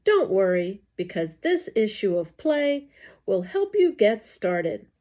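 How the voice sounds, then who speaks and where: A woman reading scripted educational narration aloud in a deliberate, enunciated way. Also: mid-range voice, big pitch swings, dark tone.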